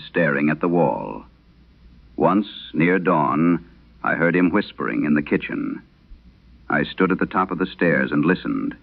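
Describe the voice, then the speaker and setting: A man narrating as a troubled wife reading her haunted letter in a vintage horror radio drama, solemn and measured. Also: deep voice, heavily acted. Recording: dull lo-fi vintage radio sound.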